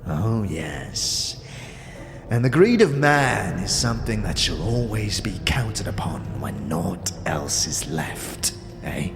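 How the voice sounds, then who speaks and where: A man playing a sly, greedy old corpse collector in a fantasy audio drama, gravelly and breathy, with theatrical, taunting speech that drops to whispers. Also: sits low, sing-song, over music, full character voice. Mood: wily. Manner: theatrical, drawn-out, taunting speech with archaic slang. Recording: long cavernous reverb.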